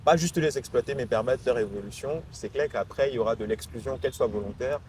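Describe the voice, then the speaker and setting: A man's voice: mid-range voice, quick pace, a little mellow. A man in his twenties with a Cameroonian accent, giving articulate, reflective answers as an interview guest. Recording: noisy urban ambience behind voice.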